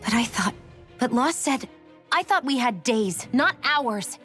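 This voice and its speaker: An actress playing a wry, questioning, skeptical adventurer in a video game. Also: female voice, fairly high pitch, animated, measured pace, fairly bright tone, faint music behind. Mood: alarmed.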